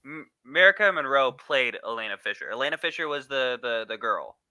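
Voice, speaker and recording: A man co-hosting a podcast in casual chat, speaking in a halting way with sentences that trail off. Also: mid-range voice, very animated, pushed and bright, no echo. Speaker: podcast co-host.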